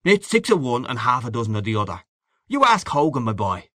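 A man's voice: medium pitch, sing-song, a little fast, bright, forceful tone, dry recording, clean studio sound. A man voicing a brash, worldly Irishman in an audiobook, boastful and hearty, patronising an old friend with emphatic delivery. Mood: hearty, pressing. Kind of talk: literary audiobook character dialogue.

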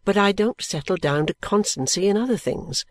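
A woman voicing a careless, easygoing and affectionate young gentleman in an audiobook, with a breezy, musing manner. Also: mid-range voice, animated, normal speed, no echo, close to an everyday voice. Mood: earnest, vexed. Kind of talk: audiobook character dialogue.

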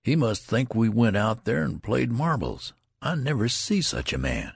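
An indignant young soldier acted by a man narrating a story grumbles and brags in rough country grammar. The voice is pushed higher, lively in pitch and a bit husky. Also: moderate pace, no room sound, studio quality, exaggerated voice. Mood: aggrieved. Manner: grumbling, rustic ungrammatical talk. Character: aggrieved young male soldier, indignant and boastful.

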